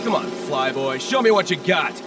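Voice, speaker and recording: An actor playing a brash, taunting male rival racing plane in an animated video game. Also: on the high side, normal speed, close to an everyday voice. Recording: distant voice under loud game music. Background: loud music, engine noise.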